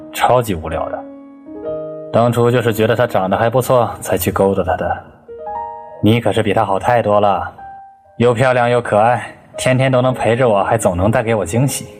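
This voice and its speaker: An actor playing a shallow, self-centred young boyfriend in an audio drama. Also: male voice, fairly low pitch, lightly acted. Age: young adult. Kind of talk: Chinese audio drama acting. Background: piano and instrumental music.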